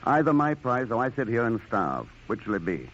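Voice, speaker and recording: Suave old-radio secret agent, noisy recording. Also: male voice, medium pitch, big pitch swings, fairly quick, a bit bright, highs cut off. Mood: dry bargaining. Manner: dry, flirtatious, bantering. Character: suave, unflappable, wry male secret agent. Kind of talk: 1940s radio drama acting.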